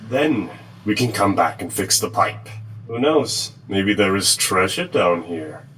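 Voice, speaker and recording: A man's voice: on the low side, expressive, unhurried, fairly bright tone, distant mic, slight background noise, rough recording. A man playing an adventurous, persuasive utility worker in an audio drama. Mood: eager, coaxing.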